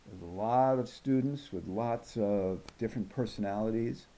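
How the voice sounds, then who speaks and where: A man reading a children's novel aloud in an expressive storytelling style. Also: fairly low pitch, normal speed, a little hiss or hum. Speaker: audiobook reader.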